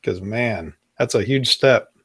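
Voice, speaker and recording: A man's voice: lowish voice, slow, fairly bright tone, slightly rough. A man hosting a casual talk show, asking curious questions and reading viewer comments. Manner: curious, asks questions, reads chat comments. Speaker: host. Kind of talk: casual chat.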